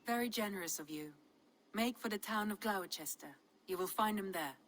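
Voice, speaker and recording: A woman playing a composed, wise Norse adviser in a video game, speaking in a measured, gently wry way. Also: mid-range voice, lightly acted. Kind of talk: dramatic video game acting.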